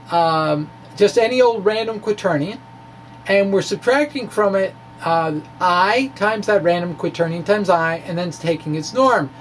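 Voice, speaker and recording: A man's voice: sits high, a little hiss or hum, low-quality recording. A man reading his science blog aloud as an informal explainer, with quirky, emphatic phrasing. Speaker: science blogger presenting physics puzzles. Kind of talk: dramatic reading of a physics blog with asides.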